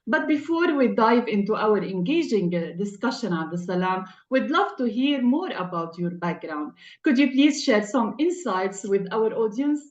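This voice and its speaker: A woman's voice: medium pitch, big pitch swings, moderate pace, few pauses, a little mellow, echoey room, highs cut off. A woman hosting an interview in a formal, scripted presenter style. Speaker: host.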